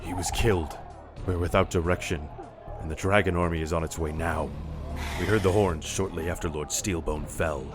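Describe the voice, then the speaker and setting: Actor as male dark elf legionnaire, loyal and pragmatic. Very low voice, measured delivery, fantasy audio drama with strong music underneath. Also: fairly even intonation, close to an everyday voice. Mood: grave report. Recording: music behind the voice.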